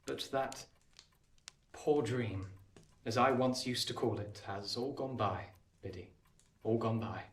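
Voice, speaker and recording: An actor playing an earnest, tender bachelor gentleman in a period radio drama, speaking gently and formally. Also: mid-range voice, takes it slowly, pauses often, far from the mic. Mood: tender, sorrowful. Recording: roomy, off-mic stage-like sound.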